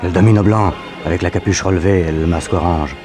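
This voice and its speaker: An actor as a low-voiced, obliging henchman. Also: fairly even intonation, quick pace, music in the background, close to an everyday voice. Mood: eager, obedient. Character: obliging henchman, slow to believe, eager yes-man. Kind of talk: 1960s French radio serial acting.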